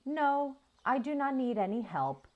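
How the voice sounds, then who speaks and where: A woman voicing a helpful female farm robot in a storybook, with measured, formal phrasing. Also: high voice, very animated, phone-quality sound, pitched above the performer's own voice. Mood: calm, helpful. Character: helpful, literal-minded female farm robot telling stories.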